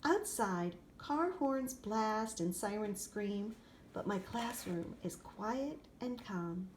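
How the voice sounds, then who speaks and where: A woman's voice: medium pitch, lively intonation, slow pace. Woman reading a storybook, noisy recording. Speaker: storybook reader. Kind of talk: expressive children's picture-book read-aloud. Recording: clear background noise, room ambience.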